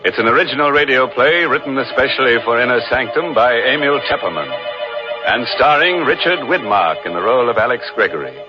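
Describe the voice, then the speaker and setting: An actor playing a ghoulish host of a 1940s radio horror show, delivering grim puns with creepy relish. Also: male voice, medium pitch, lively intonation, fairly quick, lightly acted. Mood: sinister. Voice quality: dark punning, creepy delivery. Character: macabre man hosting a radio horror show, punning and gleeful. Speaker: sinister radio mystery host. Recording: muffled old radio broadcast with music.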